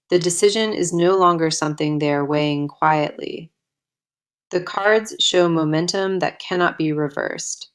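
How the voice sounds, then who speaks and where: A woman giving a scripted tarot reading as host, in a solemn, emphatic, declarative way. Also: sits low. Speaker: tarot card reader and host.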